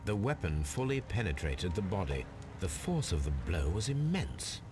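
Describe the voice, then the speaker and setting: A low, deep male voice plays a calm gentleman detective in a video game. He reasons aloud with measured precision, musing over each observation. Loud music plays behind him. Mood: analytical. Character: calm, analytical gentleman detective examining a murder scene. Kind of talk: video game character voice acting, deliberate deductive monologue.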